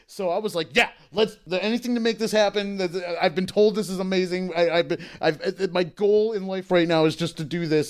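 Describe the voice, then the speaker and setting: A young adult man casually telling a self-deprecating story on a comedy podcast, rambling with frequent restarts. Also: high voice. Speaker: podcast host.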